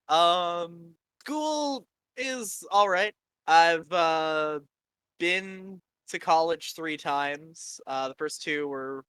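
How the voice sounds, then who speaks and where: A young man from Canada hosts a loose, casual chat with a high, bright voice that can pass for a woman's. He talks in a crisp, clear tone with a very dry, close sound. He rambles, swears freely and laughs easily. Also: dry recording. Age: 20s. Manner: rambling and profane, quick to laugh. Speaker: podcast host.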